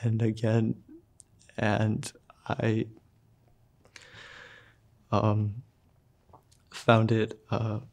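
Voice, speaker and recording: A young man telling his own story in a short film, soft-spoken and halting, with pauses and fillers. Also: on the low side, monotone, creaky. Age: young adult. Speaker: student filmmaker narrating his own story. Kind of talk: personal narration for a short film.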